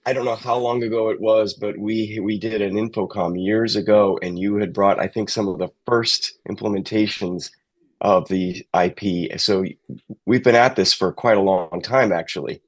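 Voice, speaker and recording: A man's voice: on the low side, steady tone, moderate pace, poor audio. A middle-aged man, giving conversational interview answers as a technical sales manager.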